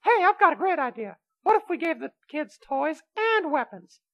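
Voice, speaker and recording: Woman voicing a brash, high-pitched wicked kitty. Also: normal speed, dull audio. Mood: mischievous, casual. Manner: brash, excitable, whiny. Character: immortal, gleefully wicked magical kitty, childish and scatterbrained. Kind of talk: amateur comedic radio play.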